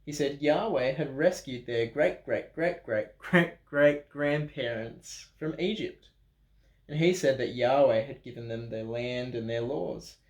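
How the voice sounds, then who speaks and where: A man's voice: medium pitch, a little slow. An Australian man reading a children's picture book aloud at a church, with playful chatty asides. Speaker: children's story reader. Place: church room. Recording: roomy echo.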